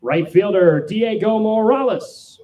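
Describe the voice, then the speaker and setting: A man calling live baseball play-by-play from a booth as announcer, projecting loudly on the calls. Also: sits high, animated, slow pace, highs cut off. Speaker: baseball play-by-play announcer, also doing public address. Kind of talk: live play-by-play sports commentary. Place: press booth at a ballpark. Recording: clear background noise.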